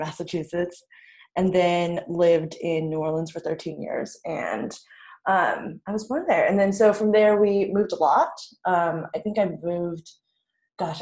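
A songwriter guest, a woman whose first language is Vietnamese, talks in a fairly low voice. She rambles candidly through her memories with plenty of fillers and quick laughs. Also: darkish tone. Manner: chatty, filler-heavy, frequent "like" and laughs. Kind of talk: candid conversational storytelling.

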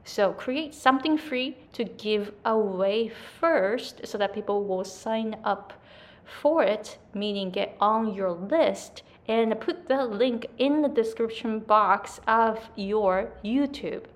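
A woman's voice: mid-range voice, slow. A woman with a Japanese accent, a native Japanese speaker and English coach, giving chatty business advice to camera and often checking in with "right?". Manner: chatty, repeats "right?" and "like", self-corrections.

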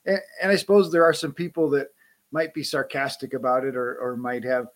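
A man in casual conversation, friendly and quick to laugh, often repeating words as he starts a thought. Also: medium pitch, flat delivery.

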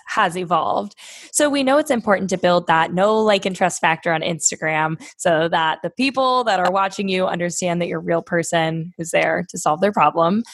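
A woman's voice: lowish voice, no room sound. A woman hosting a podcast, affirming her guest's answers and asking follow-up questions. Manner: affirming, encouraging. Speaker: podcast host.

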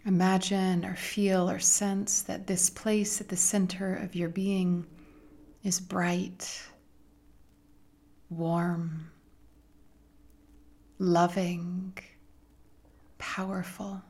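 A woman leading a guided meditation in a soft, gentle, soothing manner with long pauses and breathy phrase endings. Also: lowish voice, flat delivery.

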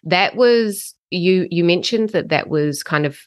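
A woman hosting a podcast interview, encouraging and quick with affirmations. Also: on the low side, expressive, no echo, clean studio sound. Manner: encouraging, lots of short affirmations. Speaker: podcast host. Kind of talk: casual interview.

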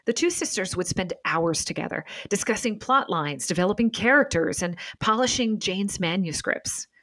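A woman presents as a podcast host, moving through a mid-range pitch with lots of melodic variation. The sound is dry and close, with very little room. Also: expressive, moderate pace, fairly bright tone, no room sound. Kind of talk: scripted narration and interview talk.

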